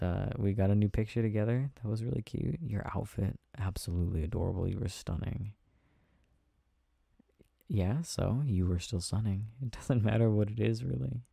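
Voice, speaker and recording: A man playing an affectionate, tired boyfriend in an intimate ASMR roleplay, chatting softly and hesitantly with a playful, teasing manner. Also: fairly low pitch, vocal fry, no echo, clean studio sound. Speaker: audio roleplay performer.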